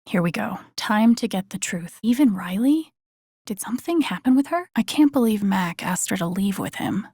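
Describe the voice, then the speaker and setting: Video game lead: wry young woman, empathetic amateur sleuth, casual inner monologue, creaky voice, very dry close recording. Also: mid-range voice, animated, moderate pace, a little mellow, no echo. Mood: determined, then concerned, subdued. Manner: casual, muttering to herself, dry humor.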